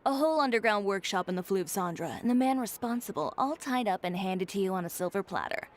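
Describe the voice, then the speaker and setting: A woman voicing a confident, sharp-tongued tailor in a game, talking with dry teasing wit. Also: mid-range voice, lively intonation, a little fast, close to an everyday voice.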